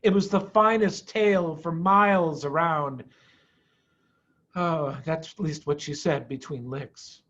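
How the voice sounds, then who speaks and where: A man reading a children's story aloud with dramatic, expressive pauses and emphasis. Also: a little high, muffled recording. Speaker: storyteller, head of school.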